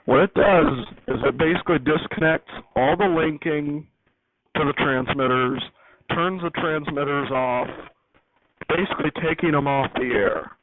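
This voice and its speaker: Guy with a slightly high voice rambling thru a technical demo, keeps correcting himself, thin phone-line sound. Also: moderate pace. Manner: rambling, self-correcting explanations. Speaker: hobbyist host giving a studio tour. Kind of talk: unscripted technical walkthrough. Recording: noisy, tinny phone-like audio.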